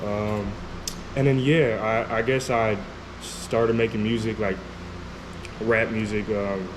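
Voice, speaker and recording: A young man from Turkey whose first language is Turkish, a musician guest in a casual interview. He talks in a relaxed, hesitant way with many pauses and fillers. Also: fairly low pitch, background noise.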